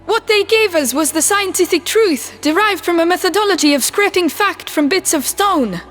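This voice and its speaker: A man's voice: very high-pitched, expressive, normal speed, a bit bright, soft background music, voice pushed up. A man voicing an earnest alien ambassador who speaks in formal, declarative oratory. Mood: earnest, resolute.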